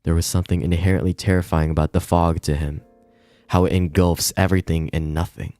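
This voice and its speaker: Really deep voiced guy reading a visual novel on stream, casual, stumbles a bit, soft music under it. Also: flat delivery, a little fast. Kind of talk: casual livestream read-along with reactions. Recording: roomy echo, music behind.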